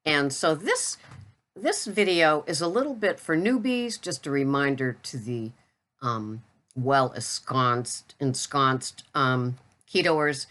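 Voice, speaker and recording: An older woman with a low voice talks straight to camera in a loose, rambling vlog chat. She pokes fun at herself as she goes. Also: animated, slow pace, a bit bright. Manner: chatty, rambling, self-deprecating. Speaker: keto vlogger. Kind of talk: casual talking-head chat.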